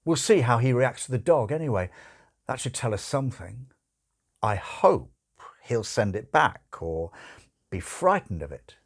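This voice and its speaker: A man voicing a suave, sardonic male demon in an audiobook, urgent and brooding, with emphatic, drawn-out phrasing. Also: medium pitch, big pitch swings, pitched above the performer's own voice. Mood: worried, grim.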